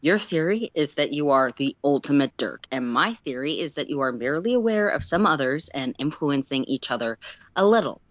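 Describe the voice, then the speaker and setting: A woman's voice: deep voice, expressive, normal speed, no echo, muffled recording. A woman playing an exasperated, sweary sylph philosopher who lectures and argues sarcastically in an amateur story reading. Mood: frustrated, grudging. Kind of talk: amateur audio fiction read aloud live.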